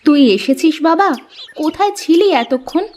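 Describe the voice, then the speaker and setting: A woman's voice: very high voice, moderate pace, darkish tone. A woman voicing a hard-working village housewife and mother in a cartoon, with a fondly scolding, complaining manner. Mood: caring. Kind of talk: cartoon dialogue acting.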